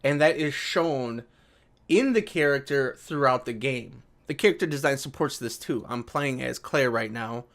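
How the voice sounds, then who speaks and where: A man's voice: mid-range voice, normal speed, a bit bright. A man giving casual, sarcastic commentary as a video creator, with an exasperated and profane way of talking. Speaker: video creator reacting to an article. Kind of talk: casual commentary alternating with reading an article aloud.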